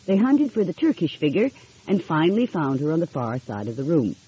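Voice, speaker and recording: A woman narrating an audiobook in an expressive storytelling style. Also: deep voice, brisk, breathy edge. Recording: dull, lo-fi audio.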